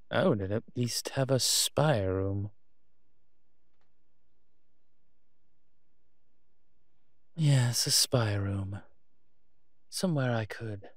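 Roleplay audio drama: ancient male vampire played by a man, refined and fussy, pompous wit, unhurried delivery, dry close sound. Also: lowish voice, no room sound. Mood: pompous, teasing. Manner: pompous, wry, posh turns of phrase. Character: refined, haughty, centuries-old male vampire, fussy and teasing.

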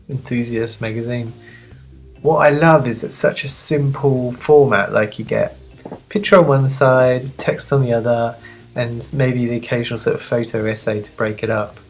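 A sniffly magazine reviewer of medium-pitched voice chatting casually off the cuff, rambling, playful and self-deprecating. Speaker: magazine reviewer and video host.

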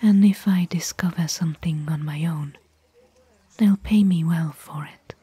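Actress for bookish woman adventurer, ASMR audio roleplay: mellow, gentle whisper, close and dry. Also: low-pitched, dry recording, exaggerated voice. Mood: quietly earnest. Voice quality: breathy, whispered. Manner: slow, gentle, pausing between short phrases. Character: gentle, bookish female desert explorer, caring companion.

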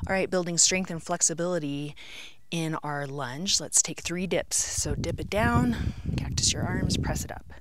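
A middle-aged woman with a slightly low voice guides a yoga class. She gives instructions in short, measured phrases with pauses between them, calling out each pose and breath as she moves through it herself. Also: a little hiss or hum. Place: outdoors on an open rocky canyon rim.

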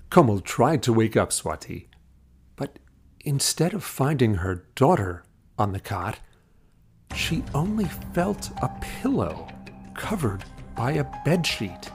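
A man's voice: on the low side, a little mellow. A man narrating an audio drama as a scripted, third-person story.

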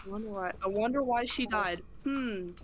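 A woman streamer in her thirties talks casually into a desk mic at home, teasing and laughing easily. Her voice sits at an ordinary middle pitch, with a faint hiss of noise behind it. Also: normal speed. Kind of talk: casual co-op game chat. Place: home room, indoors.

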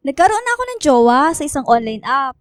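An actress playing a chatty, dramatic young woman who tells stories to online friends in expressive, casual Taglish radio drama dialogue. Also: sits high, quick pace, no echo, clean studio sound. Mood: gossipy, confiding. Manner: expressive, gossipy storytelling.

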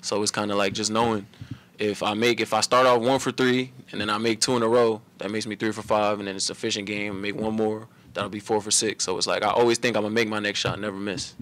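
A young man, a college basketball player, answering reporters after a game in a relaxed, run-on way at a table mic. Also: lowish voice, quick pace. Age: young adult. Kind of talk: post-game press conference. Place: press room at a table before a logo backdrop.

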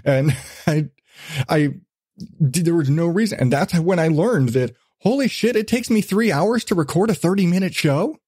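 A male podcast host chats casually in a somewhat high voice. He swears freely and acts out little asides as he tells things. The sound is very dry, with hardly any room to it. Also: moderate pace, breathy edge, dry recording. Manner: profane, animated storytelling with acted-out asides.

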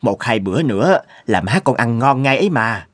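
A man's voice: mid-range voice, lively intonation, normal speed, no echo, natural voice. A man playing a mild-tempered, placating older father-in-law in a cartoon. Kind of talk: cartoon dialogue.

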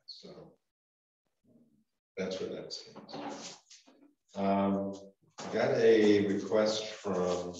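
An older man with a Vermont accent talks through town business in a hesitant way, full of ums and restarts. His voice sits very low. It is heard from far off in an echoey meeting room, with a dull sound. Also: a little mellow, a little hiss or hum. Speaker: select board chair. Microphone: far room camera.

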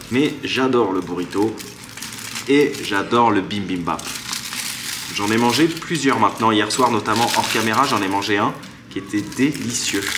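A man in his thirties chatting enthusiastically to viewers as a travel vlogger, filming himself at arm's length in a small restaurant. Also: fairly low pitch. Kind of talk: casual travel vlog. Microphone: handheld camera at arm's length. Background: background music.

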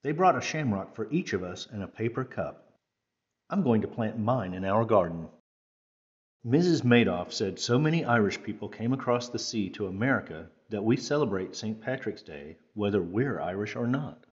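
Male storytime reader, lowish voice, careful phrasing, slightly dull recording. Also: expressive, normal speed. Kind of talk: children's picture book read-aloud.

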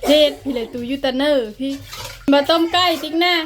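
A female speaker with a very high voice and an Isan accent. She talks in a casual, conversational way. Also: fairly quick, background noise. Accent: Isan (northeastern Thai). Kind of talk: casual chat.

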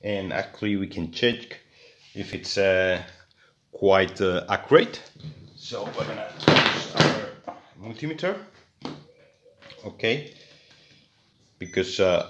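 Very deep-voiced man explaining a repair. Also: fairly bright tone. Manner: hesitant, many fillers and restarts. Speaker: electronics hobbyist. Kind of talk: hands-on repair tutorial. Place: indoors at a workbench.

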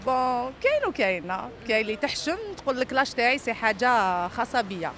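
A woman's voice: on the high side, big pitch swings, fast talker, a bit bright. A woman with an Algerian accent, chatting casually in a street interview and mixing in French words. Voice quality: frequent French words mixed in. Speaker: passer-by being interviewed. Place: outdoors on a busy city street. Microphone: reporter's handheld microphone. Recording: clear street noise and traffic.

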